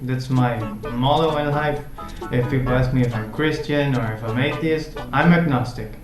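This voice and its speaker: A man's voice: medium pitch, fairly even intonation, normal speed. A man in his twenties from the Netherlands with a Dutch accent, chatting casually to camera as a vlog host. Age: 20s. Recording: roomy echo, guitar music behind. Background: guitar music.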